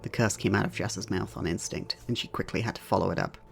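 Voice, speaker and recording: A man delivers scripted dramatic narration for an audio drama. His voice sits low, with heavy vocal fry. There is clear noisy ambience behind him. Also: fast.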